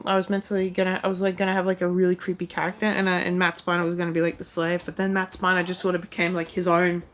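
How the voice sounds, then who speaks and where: A woman talking casually about her own past videos, rambling and self-deprecating, with lots of "like" and "um" fillers. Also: mid-range voice. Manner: rambling, heavy use of "like" and "um".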